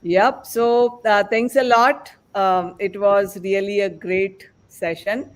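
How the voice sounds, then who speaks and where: A man hosting a panel discussion as podcast host, speaking in a halting, rambling way. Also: sits high, fairly even intonation.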